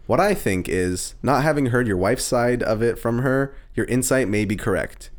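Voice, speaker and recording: Male co-host, slangy and joking, reads letters and banters with lively, swinging intonation. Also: mid-range voice, normal speed. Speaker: podcast co-host reading advice column letters. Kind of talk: casual banter and reading letters aloud.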